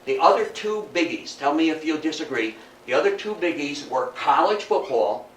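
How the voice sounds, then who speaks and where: American man, public lecturer, higher-pitched voice, emphatic delivery with repetition, recorded in an echoey room. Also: animated, normal speed, fairly bright tone. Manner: emphatic, repeats for effect, engages listeners with questions. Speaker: guest lecturer. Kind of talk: public history lecture to a live audience. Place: indoor room in front of an audience. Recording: roomy, distant mic, faint background noise.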